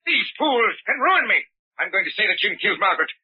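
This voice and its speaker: A man's voice: high voice, sing-song, fast, husky, no room sound, full character voice. An actor playing a bitter, cruel old father who threatens with commanding, mock-polite words in old-time radio drama style. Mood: furious. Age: older. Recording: muffled vintage radio recording.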